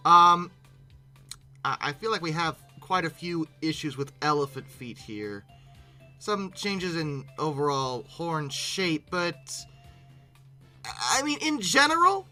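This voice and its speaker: A YouTube host giving casual, animated reaction commentary with exasperated asides. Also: medium pitch.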